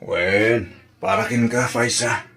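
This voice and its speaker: Actor as a low-voiced, devoted Ilocano boyfriend. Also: male voice, steady tone, slow pace, a bit bright. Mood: earnest, affectionate. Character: devoted young male sweetheart working abroad, reassuring. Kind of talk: Ilocano radio drama acting.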